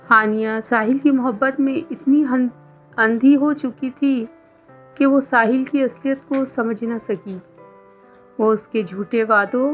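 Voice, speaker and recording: A woman reading a scripted romantic drama story as a storyteller. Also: fairly high pitch. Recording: dull lo-fi sound under music.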